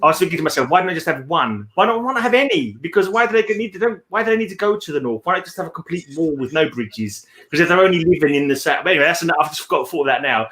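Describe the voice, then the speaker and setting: Male, fast pace, bright forward tone, rambling casual book chat. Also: a little high, animated. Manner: rambling, thinking aloud. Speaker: read-along host.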